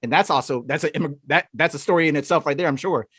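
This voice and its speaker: A male podcast host asking halting questions and gushing with affirmations. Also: a little high, fairly bright tone, slightly rough, no echo, studio quality. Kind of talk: casual podcast interview.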